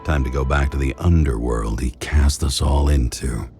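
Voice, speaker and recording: A super deep gravelly noir detective, tired and brooding, game voice over loud music. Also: male voice, normal speed. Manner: brooding, terse, world-weary. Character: weary, brooding noir male detective, cynical and haunted. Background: prominent music.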